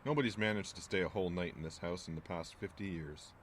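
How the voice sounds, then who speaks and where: An actor playing a gruff, wary small-town landlord in an audio drama, speaking in a curt, folksy, ominous way. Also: male voice, low voice, fast talker, a little hiss or hum, lightly acted. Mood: grim, wry.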